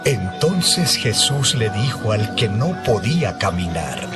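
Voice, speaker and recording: A man's voice: fairly low pitch, very animated, slow. A man narrating a dramatized scripture reading as a scripted storyteller. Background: music.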